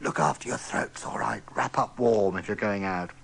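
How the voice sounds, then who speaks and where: A woman's voice: rumbling low, normal speed, gravelly, husky. A woman giving a chatty, sympathetic radio horoscope in a giggly way. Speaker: radio astrologer reading horoscopes.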